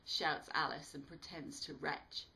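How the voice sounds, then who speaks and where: A woman with a slightly low-pitched voice performs as an author reading her own work. Her storytelling is expressive and dramatic, shaped for listeners following a narrative. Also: measured pace, creaky, poor audio. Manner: animated, dramatic delivery. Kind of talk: expressive storytelling read-aloud.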